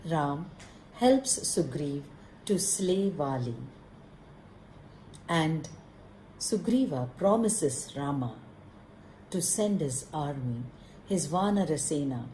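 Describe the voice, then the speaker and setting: A woman narrating a puppet-show story in measured, short dramatic phrases. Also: sits low, lively intonation, dark tone, slight background noise. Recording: roomy echo.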